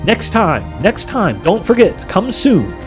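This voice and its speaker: Storybook witch queen, male reader: grand, sly, coaxing, commanding; raised, very animated pitch. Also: measured pace, music in the background, full character voice. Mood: teasing. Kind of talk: audiobook character voice.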